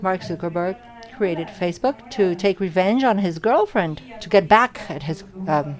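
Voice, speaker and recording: A woman interpreting audience questions live at an academic symposium. She speaks in short, halting phrases and often corrects herself mid-sentence. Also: fairly low pitch. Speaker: simultaneous interpreter.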